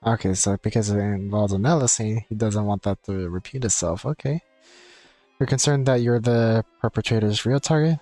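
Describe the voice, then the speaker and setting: A game streamer talks casually in a mid-pitched voice, reading choices aloud and commenting offhand. The sound is close and dry, with soft game music underneath. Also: moderate pace, dry recording. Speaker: streamer playing a video game.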